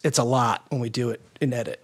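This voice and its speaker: A man's voice: medium pitch, fast. A man speaking as a guest expert in a casual interview, giving easygoing, reassuring advice. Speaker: guest expert, writer and audio drama maker.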